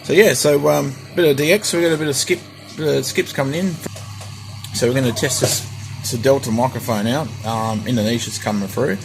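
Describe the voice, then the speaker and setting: An Australian man chatting casually and haltingly as a hobby radio operator, often repeating himself. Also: medium pitch, over music, poor audio. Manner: halting, repetitive. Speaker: CB radio operator demonstrating his equipment. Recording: clear background hiss and radio noise.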